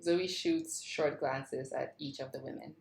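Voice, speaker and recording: A woman's voice: a bass voice, steady tone, unhurried, gravelly, a little mellow. A woman narrator reading screenplay stage directions aloud. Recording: roomy, echoing sound.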